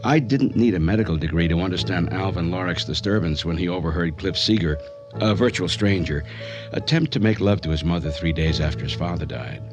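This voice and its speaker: An actor playing an authoritative psychiatrist who narrates a case in a radio drama, explanatory and precise. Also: male voice, low-pitched, brisk, natural voice. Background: music.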